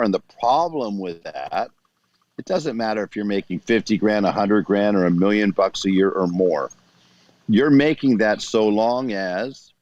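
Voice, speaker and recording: A man's voice: on the low side, dry recording. A man giving an emphatic motivational talk as a guest coach, repeating phrases for effect.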